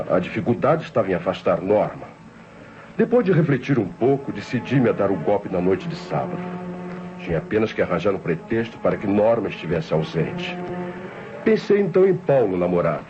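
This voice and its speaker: Sobrinho calculista de voz grave. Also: male voice, low voice. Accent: Brazilian. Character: scheming indebted nephew, coldly plotting his aunt's murder. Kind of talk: old Brazilian radio drama acting with first-person narration. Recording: dull old radio recording with music behind.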